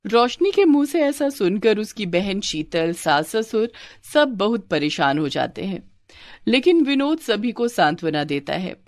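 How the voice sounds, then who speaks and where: A woman's voice: mid-range voice, sing-song, unhurried, a little mellow, no echo, studio quality. A woman reading scripted narration for a moral story as its narrator.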